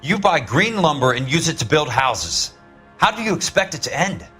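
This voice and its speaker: Blunt investigator, terse, commanding, confrontational, lively intonation, video game drama, faint background music. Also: male voice, fairly high pitch, moderate pace. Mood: pressing. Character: hard, blunt male investigator, relentless and accusing.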